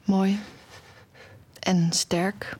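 A woman's voice: medium pitch, flat delivery, extremely slow, darkish tone, husky. A young woman acting in an audio drama as an anxious, tender, nearly blind music student who also narrates her story. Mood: tender, wistful. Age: young adult. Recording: music under the voice.

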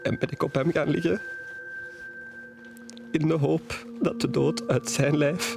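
An actor playing a tender, grieving, wounded young man in an audio drama, speaking in a halting, confessional way. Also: on the high side, huge pitch swings, gravelly, heavily acted. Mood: grieving, weary. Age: young adult. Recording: music behind the voice.

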